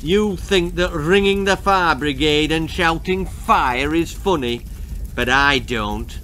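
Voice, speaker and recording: A man's voice: a little high, takes it slowly, pushed and bright, slight background noise. A man voicing a big, furious fire chief, stern and threatening, in a children's storybook. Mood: furious threat. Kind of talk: children's horror-comedy storybook reading.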